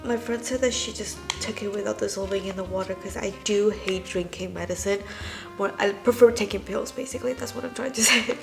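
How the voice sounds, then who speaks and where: A woman's voice: mid-range voice, fairly quick, vocal fry. A young woman with a stuffy, nasal voice from a cold, chatting casually as a vlogger about her day, speaking into a phone camera. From China. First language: Chinese. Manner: chatty, diary-like, laughs lightly. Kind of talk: casual vlog chat. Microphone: handheld phone camera. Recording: vlog with music bed under voice. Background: background music.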